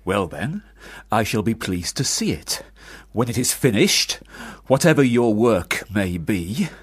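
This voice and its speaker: A man's voice: lowish voice, very animated, unhurried, slight background noise. An actor playing a stern, disapproving father in a radio play, speaking formally and declaiming. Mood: cold, disapproving.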